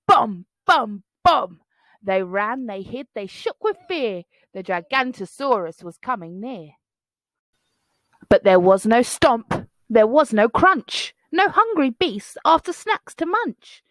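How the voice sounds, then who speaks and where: A woman's voice: on the high side, animated, takes it slowly, a bit bright, dry recording, rough recording. A woman reading a children's picture book aloud in a dramatic, rhythmic, singsong way. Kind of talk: expressive storybook read-aloud.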